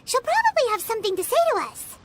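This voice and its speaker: Tiny excitable game companion, very high voice, lively swoops, third-person chatter. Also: female voice, fast talker, full character voice. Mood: puzzled. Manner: talks of herself in third person.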